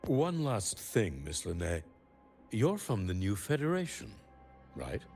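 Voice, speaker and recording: A coldly probing male professor in a video game, very deep in voice. He is condescending and insinuating, with lively swings of intonation over faint music. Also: unhurried, full character voice.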